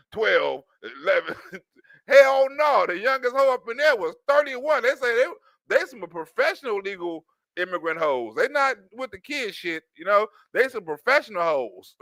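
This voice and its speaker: A man riffs through comic commentary, laughing often and slipping into quick acted-out scenes. His voice sits high and swings widely, with a bright, sharp edge. The sound is close and dry. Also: no room sound. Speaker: streamer reacting to a news clip. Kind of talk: animated comedic commentary.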